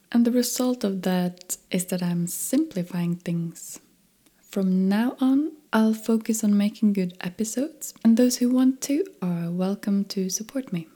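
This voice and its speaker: Female podcast host; unhurried, dark-toned, soft and measured delivery. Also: medium pitch. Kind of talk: scripted podcast intro and interview. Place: home in Stockholm.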